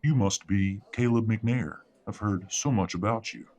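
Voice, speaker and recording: A man playing a menacing, controlling werewolf father in an audio drama, husky and breathy, smug and deliberate. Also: on the low side, a little mellow, close to an everyday voice. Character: menacing, controlling werewolf patriarch, middle-aged or older father.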